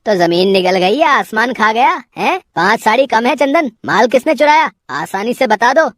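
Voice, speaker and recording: A woman's voice: a little high, lively intonation, normal speed, no room sound, clean studio sound, lightly acted. A woman voicing a stern male shop owner in a cartoon moral story, scolding and commanding. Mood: suspicious.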